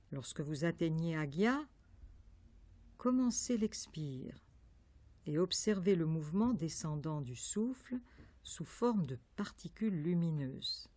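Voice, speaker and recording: A woman leading a guided yoga meditation, giving calm, measured instructions with pauses between phrases. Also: on the low side, dry recording. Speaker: yoga nidra meditation teacher.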